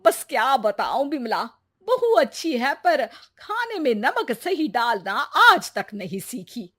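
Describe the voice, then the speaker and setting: A woman's voice: high-pitched, sing-song, slow pace, a bit bright, heavily acted. A woman playing a nagging, dramatic middle-aged Indian mother-in-law in a family story cartoon, complaining and wheedling theatrically. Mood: chatty complaining.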